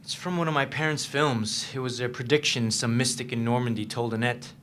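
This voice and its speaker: Male actor as troubled man, medium pitch, dramatic stage reading, understated, guarded, background noise. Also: fairly even intonation, fairly quick, fairly bright tone, lightly acted. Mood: uneasy, confiding. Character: troubled, guarded man confiding in his girlfriend, haunted by past.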